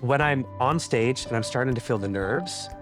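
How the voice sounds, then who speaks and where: A man's voice: mid-range voice, moderate pace, over music. A man who sings for a living, speaking animatedly in an interview and breaking into short vocal demonstrations. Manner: animated, demonstrates with sung sounds. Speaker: professional singer. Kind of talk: interview talk.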